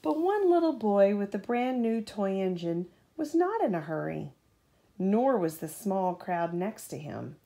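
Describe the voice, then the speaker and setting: Mother-type female storybook reader: unhurried, animated, lightly gravelly, engaging a child with questions. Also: mid-range voice. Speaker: mother reading a bedtime story aloud. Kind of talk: expressive children's storybook read-aloud.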